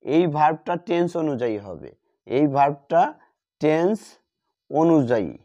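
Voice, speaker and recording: A man teaching a grammar lesson, repeating key words slowly as he writes them out. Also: fairly high pitch, lively intonation, warm tone. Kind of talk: classroom lesson at a board. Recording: dull lo-fi sound.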